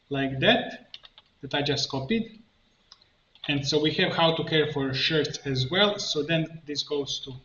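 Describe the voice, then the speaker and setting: A man narrating a step-by-step software tutorial, thinking aloud in a halting way as he works. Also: fairly high pitch. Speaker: tutorial instructor, coach. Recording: muffled, roomy, faint noise, keyboard clicks. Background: computer keyboard typing and clicking.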